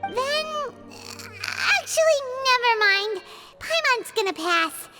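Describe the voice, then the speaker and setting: A woman voicing a tiny, greedy, excitable fairy companion in a video game, with a squeaky, childlike voice. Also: slow pace, full character voice. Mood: uneasy. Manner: childlike, refers to self in third person. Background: background music.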